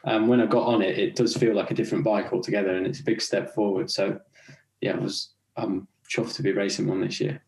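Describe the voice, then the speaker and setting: English-accented young man, low, echoey room. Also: brisk, gravelly. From Scotland. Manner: relaxed, chatty, laughs while telling stories. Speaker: podcast guest, racing rider. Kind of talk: casual interview chat.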